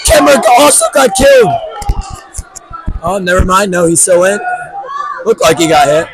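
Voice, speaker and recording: A man doing live play-by-play commentary, with a raspy, hoarse voice from yelling, exclaiming often. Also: high-pitched, moderate pace. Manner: excitable, lots of exclamations. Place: large indoor gym at a match. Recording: loud gym crowd and court noise.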